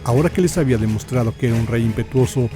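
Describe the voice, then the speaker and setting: Casting: male narrator, Mexican accent, solemn theatrical history storytelling, medium pitch, set over clear background music. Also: very fast. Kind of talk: dramatic scripted historical narration.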